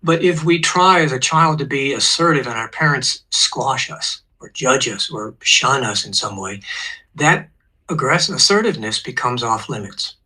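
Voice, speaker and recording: A man in his sixties, a therapist, calmly explaining psychological ideas as a guest on an online show. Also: medium pitch, normal speed, breathy edge, low-quality recording.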